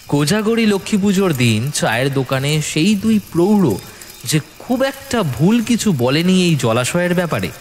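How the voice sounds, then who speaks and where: A man's voice: mid-range voice, slight background noise. A man narrating a scripted horror story in a dramatic storytelling style. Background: music.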